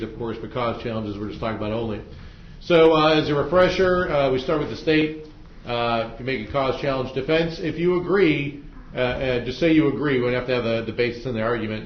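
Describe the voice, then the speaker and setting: An American man speaking as a judge, running jury selection in a casual, plain-spoken way with informal questions and instructions. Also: medium pitch. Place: courtroom. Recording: echoey courtroom, muffled, faint noise.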